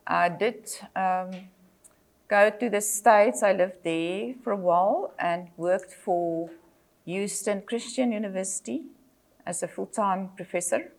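A woman's voice: mid-range voice, vocal fry. Older South African woman lecturing. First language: Afrikaans. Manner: measured, with frequent fillers and self-corrections. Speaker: psychologist and lecturer. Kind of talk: presentation lecture.

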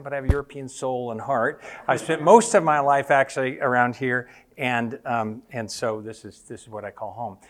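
A man's voice: medium pitch, fairly bright tone. An older man with an American accent, a missionary giving an earnest, conversational talk to a room of teachers. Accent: General American. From United States. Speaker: missionary speaker. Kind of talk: presentation to teachers. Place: room with an audience.

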